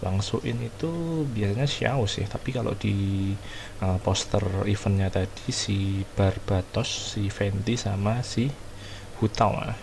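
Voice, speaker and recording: A man giving casual, halting let's-play commentary as a gaming streamer. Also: fairly low pitch, steady tone, gravelly, a little mellow. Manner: hesitant, halting, filler-heavy. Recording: noticeable background noise and ambience.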